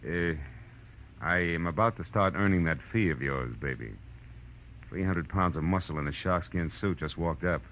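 Hard-boiled detective, low piercing voice, sardonic, clipped, 1940s radio noir, slight noise. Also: male voice, moderate pace, fairly bright tone, dull audio. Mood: dry, wisecracking. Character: brash, wisecracking male hard-boiled private detective. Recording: faintly noisy old radio recording.

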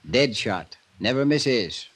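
A man's voice: medium pitch, lively intonation, takes it slowly, a bit bright, slightly rough, full character voice. An American man playing a folksy, matter-of-fact small-town man with laconic delivery in a 1950s radio drama.

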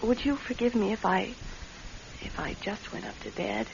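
A woman playing a weary, languid, groggy wife in a radio drama, with a creaky voice. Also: medium pitch, normal speed, natural voice. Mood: drowsy. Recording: dull, hissy radio recording.

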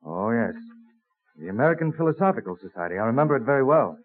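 Hearty, modest colonial botanist, played by a man, animated mid-range voice, muffled old radio recording. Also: mid-range voice, fast, lightly acted. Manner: plain-spoken, modest, enthusiastic. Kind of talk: vintage radio drama acting.

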